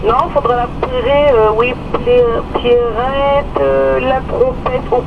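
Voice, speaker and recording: Casual female CB radio contact, high-pitched, coming through muffled under very loud noise. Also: fairly even intonation, slow, creaky, recorded from a distance. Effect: CB radio transmission.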